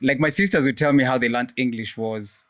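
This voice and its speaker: A woman with a Kenyan accent hosting a podcast interview in a relaxed, encouraging way. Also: rumbling low, fairly quick, dry recording. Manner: relaxed, encouraging, frequent "mm-hmm". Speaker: podcast host. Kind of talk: casual interview chat.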